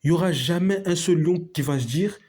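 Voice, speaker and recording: Emphatic, slangy man with a husky voice. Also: a little high, brisk, dark tone. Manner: emphatic, repetitive, slangy, addresses viewers as friends. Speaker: video host. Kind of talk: casual opinion monologue to camera.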